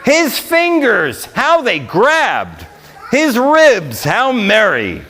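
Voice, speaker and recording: A man doing a live storytime read-aloud of comic rhyming verse, with an animated, theatrical delivery. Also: very high voice, very slow. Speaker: librarian storyteller.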